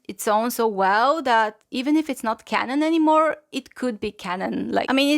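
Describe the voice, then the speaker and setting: A woman's voice: a little high, dry recording, studio quality. A woman whose first language is Bulgarian, speaking English with a Bulgarian accent as she hosts a casual, enthusiastic book review, chatty and full of "like"s. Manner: enthusiastic, gushing, chatty with many "like"s. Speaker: book channel host.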